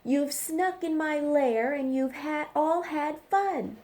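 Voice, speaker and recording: A woman's voice: sits high, slow, darkish tone, voice pushed up. A woman voicing a grumpy, sleepy big male bear who complains in a whiny, raised voice in a storybook reading.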